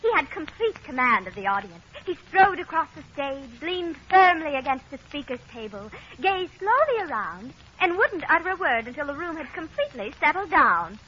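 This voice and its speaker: A woman's voice: high voice, sing-song, moderate pace, few pauses, sharp tone, exaggerated voice. An actress playing a snooty society woman in 1940s radio comedy, pompous, affected and gushingly condescending. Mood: haughty gushing. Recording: dull old radio recording, audience noise.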